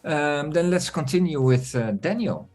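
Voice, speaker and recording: A man hosting an online community meeting, talking in a relaxed, chatty way with many fillers. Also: a little high, unhurried, faint music behind. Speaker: meeting host.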